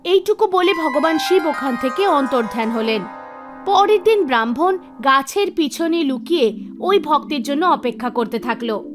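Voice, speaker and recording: A woman narrates a cartoon folk tale in a very high-pitched voice. It is scripted storytelling, with music playing behind her. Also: animated, normal speed. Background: background music.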